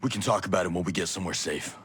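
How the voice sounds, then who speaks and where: A calm special forces soldier whose voice sits very low. He speaks levelly and firmly, as in a video game cutscene. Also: racing, slightly rough, full character voice. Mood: steady.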